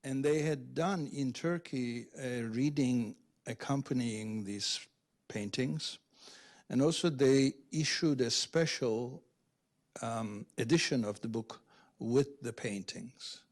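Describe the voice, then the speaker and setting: Slow, halting, scholarly man. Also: mid-range voice. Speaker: literary translator, event speaker. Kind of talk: unscripted explanation at a reading Q&A.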